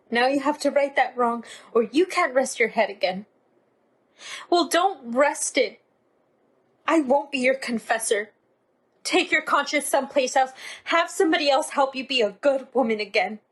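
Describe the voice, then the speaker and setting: A woman's voice: sits high, breathy edge, poor audio. An actress playing a grieving, unforgiving woman who speaks sternly and accusingly in a dramatic stage monologue. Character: grieving, righteous, unforgiving woman confronting a wrongdoer.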